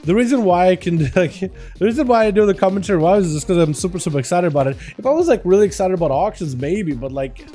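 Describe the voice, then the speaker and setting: Excitable high-voiced man with slight Russian accent. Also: faint music behind. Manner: fast, excitable play-by-play that turns to loose rambling chat. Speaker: streamer and game commentator. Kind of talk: excited live game commentary mixed with casual chat.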